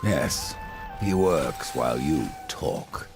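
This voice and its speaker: A gravelly sardonic Death. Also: male voice, rumbling low, expressive, slow pace, exaggerated voice. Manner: dry, cutting, terse. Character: grim male horseman Death, cold and sardonic. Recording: game music behind the voice.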